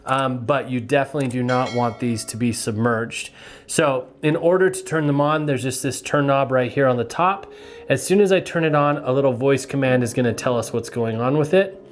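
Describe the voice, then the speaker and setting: A man giving a casual, hands-on product review in a plain-spoken, explanatory way. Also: medium pitch.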